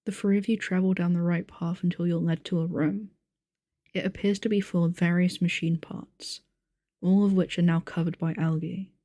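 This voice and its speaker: A woman playing a calm game master who narrates a sci-fi tabletop adventure in measured, descriptive speech. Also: fairly low pitch, no room sound, clean studio sound, lightly acted. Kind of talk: scripted narration, ASMR audio roleplay.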